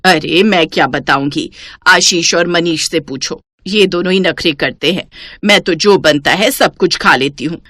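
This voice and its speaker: A woman's voice: fairly low pitch, unhurried, a bit bright, no room sound, clean studio sound, voice pushed down. Nagging elderly mother-in-law, played by a woman. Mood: dismissive. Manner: complaining, scolding.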